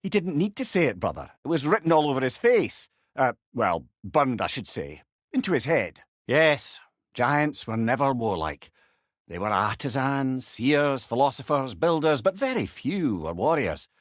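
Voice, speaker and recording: An actor voicing a clever, chatty old companion in a video game, with a Scottish accent and a wry, eloquent way of talking. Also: male voice, medium pitch, big pitch swings, normal speed, no room sound, clean studio sound. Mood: thoughtful, explaining. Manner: wordy, eloquent, wry storyteller. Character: clever, chatty, sardonic old male severed head companion. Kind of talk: video game character dialogue.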